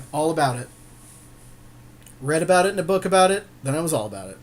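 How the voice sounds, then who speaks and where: A man's voice: fairly high pitch, expressive, a little fast, slight background noise. A man co-hosting a gaming podcast, chatting casually with a friend in a rambling, slangy way with some swearing. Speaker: podcast co-host.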